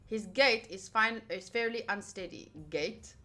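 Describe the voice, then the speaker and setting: A young woman plays through a visual novel, reading aloud and adding casual, playful asides. Her voice is a little high. Soft game music sits behind her. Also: unhurried. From United States. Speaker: game streamer.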